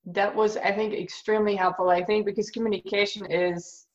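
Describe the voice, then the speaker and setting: A woman's voice: mid-range voice, little pitch movement, fairly quick. A woman podcast host whose first language is German, speaking in a thoughtful, slightly hesitant casual chat. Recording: dull lo-fi audio.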